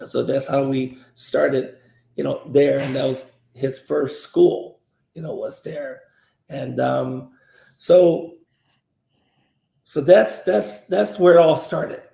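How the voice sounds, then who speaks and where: Gravelly-voiced man telling stories in a roomy space. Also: medium pitch, steady tone, slow pace, mellow. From South Korea. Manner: rambling, many fillers and restarts. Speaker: martial arts grandmaster guest. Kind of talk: storytelling interview answers. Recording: roomy echo.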